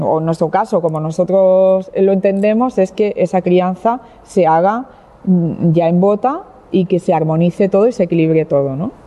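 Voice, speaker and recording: A woman from Catalonia, a winemaker, leading a wine tasting in an explanatory, heartfelt way, hesitating and restarting often. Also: lowish voice. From Catalonia, Spain. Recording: dull lo-fi sound with long hall reverb.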